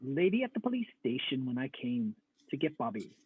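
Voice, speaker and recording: A man's voice: mid-range voice, big pitch swings, a little fast, a little hiss or hum. A man reading dialogue casually in an amateur group read-through, on a slightly dull microphone. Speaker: reader in an amateur comic dramatic reading.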